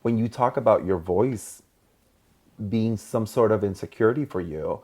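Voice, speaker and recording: A man's voice: fairly low pitch, normal speed, mellow, slightly rough. A man hosting a podcast interview, asking curious questions and laughing easily. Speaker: podcast host. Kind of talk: casual interview.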